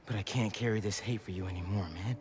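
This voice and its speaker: An actor playing an earnest, wounded but resolute young superhero in a video game cutscene. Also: male voice, lowish voice, fairly even intonation, fast talker, a little mellow, close to an everyday voice. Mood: pained, resolute.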